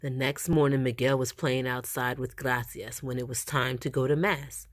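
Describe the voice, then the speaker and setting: A woman reading a picture book aloud to young children in an animated, expressive storytelling style. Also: extremely low pitch, moderate pace. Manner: animated, acts out the dialogue.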